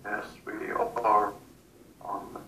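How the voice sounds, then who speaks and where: Halting, creaky man, distant and echoey. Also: on the low side, completely flat delivery, sharp tone, in a whisper. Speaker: hypnosis subject relaying messages. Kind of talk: trance channeling, short halting statements. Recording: distant, echoey, noisy recording.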